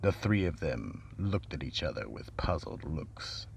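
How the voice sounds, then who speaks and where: A man playing the grave narrator of a radio mystery drama, telling the tale in a measured, solemn way with a gravelly, husky voice. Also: a bass voice, big pitch swings, slight background noise, heavily acted.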